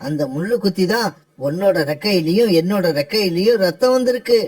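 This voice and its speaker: A lazy male crow from a children's animated fable, acted by a man. The voice sits high for a male, with a plaintive, sorry-for-himself manner. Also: brisk, slightly rough. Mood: rueful. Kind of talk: children's cartoon story dialogue.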